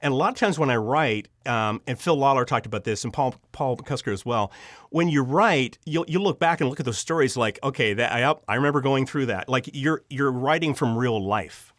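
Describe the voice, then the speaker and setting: An earnest man with a medium-pitched voice talks as a guest in an interview, telling stories conversationally. He halts and doubles back on his words as he goes. The sound is close and dry, with no room around it. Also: brisk, a bit bright, no echo. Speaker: audio drama writer and producer, interview guest.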